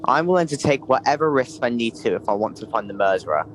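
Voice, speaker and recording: An actor playing a stern, grudging chief in an audio drama, blunt and used to giving orders. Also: male voice, medium pitch, lively intonation, quick pace, a little hiss or hum, close to an everyday voice, low-quality recording. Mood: determined. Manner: commanding, blunt.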